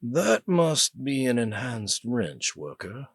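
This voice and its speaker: A man voicing a composed older male colonel with a British accent, speaking in a measured way. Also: medium pitch, very animated, gravelly, breathy edge, no room sound, clean studio sound, voice pushed up, exaggerated voice.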